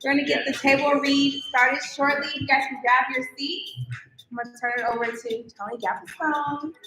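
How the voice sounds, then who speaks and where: A woman's voice: high voice, fairly even intonation, moderate pace, sharp tone. A woman giving an informal welcome announcement as host of a live gathering. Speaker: event host welcoming guests. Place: indoor mixer venue. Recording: echoey live event, music behind.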